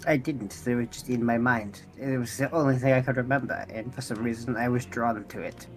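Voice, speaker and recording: Earnest amnesiac construct for a fantasy tabletop game, male player, mid-pitched, courteous, formal and halting, soft music underneath. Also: steady tone, natural voice. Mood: earnest, searching. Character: polite, earnest amnesiac robot adventurer seeking answers.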